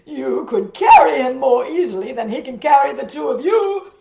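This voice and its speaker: A hearty rich merchant man, put on by a woman storyteller, calls out loud and mocking in a high voice. The room echoes and the sound is a little dull. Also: very animated, moderate pace, creaky, heavy background noise, exaggerated voice. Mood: amused mocking. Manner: booming, hearty calling out. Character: jovial, mocking wealthy male merchant.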